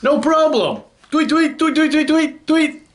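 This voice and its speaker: A storyteller voicing tiny, obliging picture-book birds with squeaky, chirpy peeps. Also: animated, measured pace.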